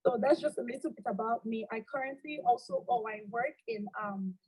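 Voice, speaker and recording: A woman's voice: fairly high pitch, fairly quick, a little mellow, breathy edge, rough recording. A woman in her twenties from Cameroon with a Cameroonian accent, answering interview questions over a video call in a friendly way, with many ums and "you know". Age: 20s. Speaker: guest, alumna accountant.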